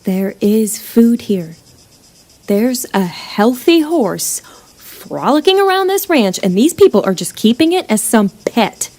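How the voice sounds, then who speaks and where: A woman's voice: a little high, sing-song, measured pace, a little mellow, heavily acted. A woman playing a pragmatic, commanding runaway leader in an apocalyptic western audio drama, firm and persuasive. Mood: hungry, insistent. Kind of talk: live-streamed audio drama acting.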